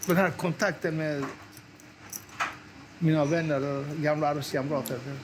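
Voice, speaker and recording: A man from southern Iran recalls his past in a halting, hesitant way, often restarting his sentences. His voice sits a little high for a man. Steady background noise is heard behind him. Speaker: interviewee. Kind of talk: personal memories in an interview.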